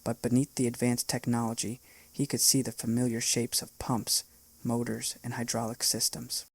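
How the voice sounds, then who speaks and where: A man narrating a science fiction short story in a steady, scripted read. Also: medium pitch, normal speed, creaky, slightly rough, dry recording.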